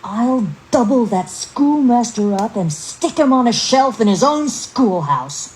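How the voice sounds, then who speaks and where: A woman's voice: on the high side, lively intonation, darkish tone, voice pushed up, low-quality recording. A woman voicing a hot-tempered, swaggering young village tough, fuming emphatically in a storybook drama. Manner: fuming, emphatic, drawn-out threats.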